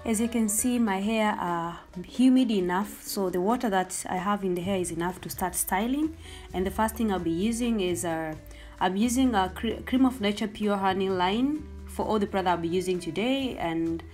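A young woman whose first language is Swahili talks through a hair tutorial in an easy, chatty way, explaining each step as she goes. Her voice sits at a middle pitch, and faint music plays quietly behind her. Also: moderate pace. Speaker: hair vlogger.

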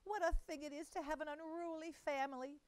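A woman's voice: sits high, steady tone, fairly quick, no room sound, voice pushed up, lightly acted. A woman voicing a tearful, fretful mother cat who wails about her lost kitten. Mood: tearful, fretting. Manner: wailing, fussing.

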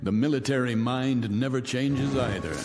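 A man's voice: mid-range voice, normal speed, a little mellow, close to an everyday voice. An actor playing a bitter, vengeful man who once was a disgraced soldier, taunting his guests with silky, theatrical menace in a radio drama. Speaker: host of the gathering. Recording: reverberant radio drama with music.

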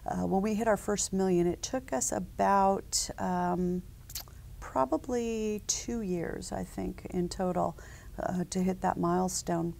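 A woman in her forties speaks as a seated conference interview guest. Her voice sits low with a gravelly edge and a dark, mellow color. She talks in a measured, businesslike way, filling gaps with ums and uhs. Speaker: company founder, interview guest. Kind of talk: conversational interview answers.

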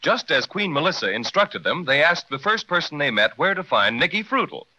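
A suspense-building children's radio narrator from the 1930s. The voice is slightly high, very forceful and animated. It comes through a dull, dated broadcast recording. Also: male voice, brisk, heavily acted. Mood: storytelling. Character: warmly dramatic storyteller announcer of a children's serial.

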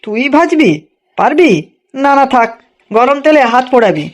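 A woman voicing an expressive village sweet-shop uncle, familiar and quick-tempered, in a children's cartoon. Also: high-pitched, highs cut off. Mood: weary, kindly. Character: busy village sweet-shop man, friendly but quick to anger.

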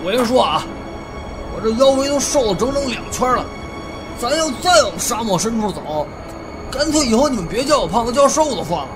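Man playing a blustery, animated fat sidekick. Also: extremely high pitch, a little fast, music in the background. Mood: griping. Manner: brash, slangy, complaining. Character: blustery, griping fat male tomb-raiding sidekick. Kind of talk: Chinese audio drama acting.

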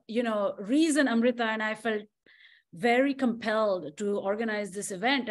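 A woman organizer asking a long question on a video call, hesitant with many fillers. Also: mid-range voice.

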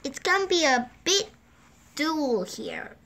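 A woman reading a children's picture book aloud at a gentle, unhurried pace, filmed indoors over the pages on a table, lifting her voice for the characters' lines. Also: sits high, big pitch swings, vocal fry, slight background noise. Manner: slow, gentle read-aloud pacing with lifted voices for quoted lines. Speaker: storybook reader. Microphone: phone or camera filming the book from above.

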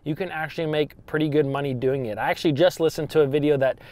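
A man's voice: fairly high pitch, fairly even intonation, fast talker, a little mellow. A man in his thirties from Ohio hosting a fishing channel, talking casually and upbeat to a handheld camera inside his truck. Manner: chatty and upbeat, lots of "you know" and "actually". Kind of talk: casual vlog talk to camera. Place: inside a parked pickup truck, later outdoors in a parking lot. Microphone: handheld camera at arm's length.

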